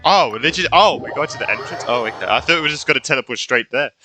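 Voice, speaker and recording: A man's voice: medium pitch, lively intonation, racing, pushed and bright. A man giving casual let's play commentary as a gaming streamer, with muttered reactions and sweary, exasperated asides. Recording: game music behind the voice.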